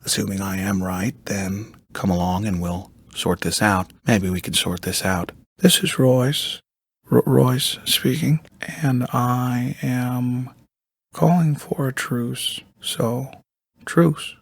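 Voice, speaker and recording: An actor playing a weary, eccentric, soft-spoken intellectual man in a video game, halting and musing, with a raspy, breathy voice, often repeating himself. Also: no echo, clean studio sound, full character voice. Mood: musing, understated, then awkward, civil. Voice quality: raspy, breathy, gravelly low voice. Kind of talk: video game character dialogue.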